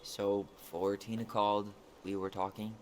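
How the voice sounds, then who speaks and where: Actor as a low-voiced guarded young American man, noisy background. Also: level tone, normal speed, lightly acted. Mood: dry, casual. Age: young adult. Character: young man, guarded, dry-witted, brooding twin brother. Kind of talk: naturalistic video game dialogue.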